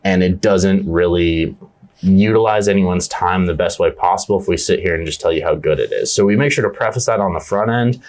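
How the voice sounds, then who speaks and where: A young man with a low voice speaks as a sales team leader in a relaxed interview. He answers with eager, earnest energy and leans on casual fillers. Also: a little fast. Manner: earnest and enthusiastic, repeats "yeah, absolutely". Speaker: team leader in agent outreach.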